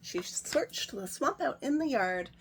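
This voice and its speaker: A woman hosting a children's story time, reading a picture book aloud in a gentle, sing-song way. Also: a little high, expressive, measured pace, slight background noise.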